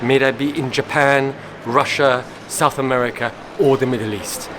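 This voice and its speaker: A man's voice: medium pitch, fairly even intonation, moderate pace. Earnest man from Iran, noisy backdrop. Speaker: co-founder of an advocacy group. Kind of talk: conference interview statement. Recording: loud background noise.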